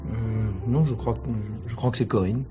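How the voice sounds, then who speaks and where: An actor playing a cool, guarded stage actor who is politely answering questions in a radio mystery play. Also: male voice, fairly low pitch, moderate pace, music in the background, natural voice. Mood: cooperative, guarded. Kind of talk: French radio mystery play acting in 1950s manner.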